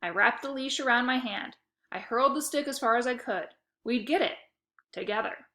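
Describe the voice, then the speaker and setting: Bright-voiced woman reading a kids' book. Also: a little high, moderate pace. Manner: lively, expressive storytelling. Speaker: reader. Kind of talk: reading a children's novel aloud.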